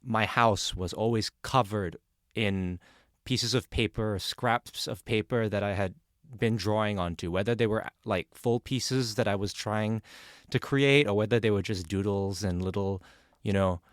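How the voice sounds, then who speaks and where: A young man talks thoughtfully as a podcast guest, explaining himself in an articulate way. His voice is lowish and smooth. The sound is dry and close, with no room echo. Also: a little slow. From born in Malaysia, raised in Australia. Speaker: documentary filmmaker, guest. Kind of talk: podcast interview.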